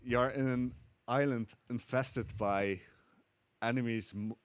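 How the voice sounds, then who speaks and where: A man's voice: mid-range voice, slow, slight background noise. A man commentating live on his own speedrun, explaining as he plays with many fillers. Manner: relaxed, hesitant with many fillers. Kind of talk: live commentary while playing.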